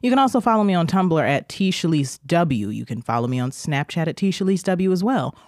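A man's voice: a little high, lively intonation, brisk, darkish tone, no echo, studio quality. A man co-hosting a podcast, bantering playfully and joking dramatically with his friend. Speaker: podcast co-host. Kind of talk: casual banter. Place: indoor room at home.

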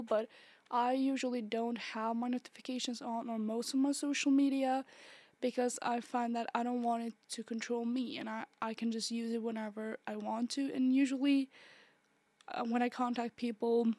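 A woman with a stuffy, congested nose hosts a solo podcast, her fairly high voice staying flat and even. She rambles casually with fillers and restarts. The sound is dry and close. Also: no room sound. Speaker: podcast host.

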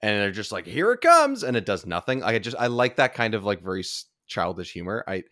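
A man chatting casually on a podcast, talking haltingly and repeating words as he goes. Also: on the low side, big pitch swings, pushed and bright, no echo, studio quality.

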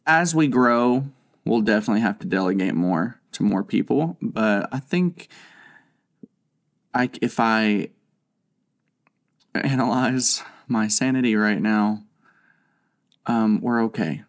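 A man hosting a casual church podcast in a fairly low voice. He talks in a chatty, rambling way and pokes fun at himself. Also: animated, takes it slowly, pauses often. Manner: chatty, self-deprecating, rambling. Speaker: podcast host, children's ministry leader. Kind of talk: casual chat.

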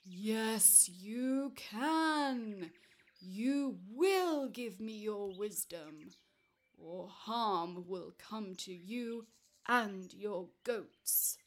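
A huge, dim, menacing storybook giant, played by a woman storyteller. The voice growls and booms. The words come slowly and loudly, as blunt demands. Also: lively intonation. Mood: angry, demanding. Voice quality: growling, booming. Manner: slow, loud, demanding.

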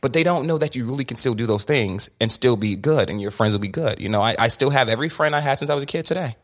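A young man hosts a candid sit-down conversation, speaking very quickly. He pushes his points hard, stumbling into restarts and doubled words as his thoughts race ahead. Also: mid-range voice, animated. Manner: rapid, emphatic, with many restarts and repeated words. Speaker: podcast host.

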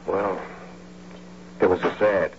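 A man's voice: deep voice, fairly even intonation, slow, vocal fry, heavily acted. An actor playing a sardonic, proud, out-of-work family man in old-time radio drama, indignant in manner. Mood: dejected. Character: exasperated, unemployed family man, sardonic and prideful. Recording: muffled old radio broadcast, faint hum.

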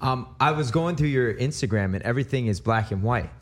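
A man hosting a radio interview in a studio, upbeat and chatty with quick restarts. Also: medium pitch, expressive.

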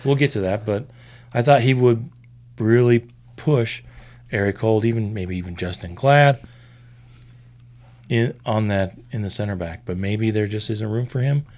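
A man's voice: on the low side, unhurried, long pauses, darkish tone. A man hosting a sports podcast, chatting casually and running through player news. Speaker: podcast host. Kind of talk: casual chat.

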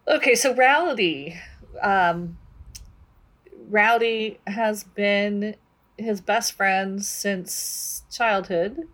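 A woman co-hosting a book discussion, talking casually in a measured, deliberate way. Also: medium pitch, a bit bright.

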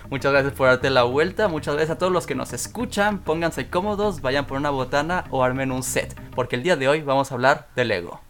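A man's voice: medium pitch, a little fast, a bit bright, faint music behind. A man hosting a podcast, upbeat and laughing often. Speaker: podcast host. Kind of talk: podcast chat.

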